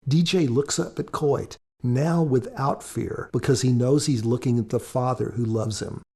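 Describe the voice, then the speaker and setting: A man's voice: medium pitch, normal speed, creaky, mellow, slightly rough. A man giving scripted podcast commentary as author and co-host, in an earnest, preacherly, explanatory manner.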